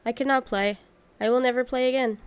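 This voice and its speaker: A woman voicing a gentle, sorrowful monster musician from a video game, pleading earnestly and haltingly. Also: a little high, fairly even intonation, clear, resonant tone, no echo, lightly acted.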